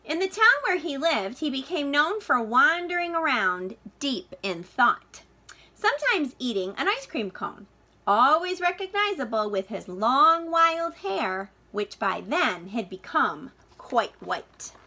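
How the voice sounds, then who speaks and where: Bright, high-voiced woman reading a storybook aloud. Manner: expressive, deliberate emphasis with drawn-out key words. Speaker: teacher reading a picture book aloud. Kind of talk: read-aloud storytelling for children.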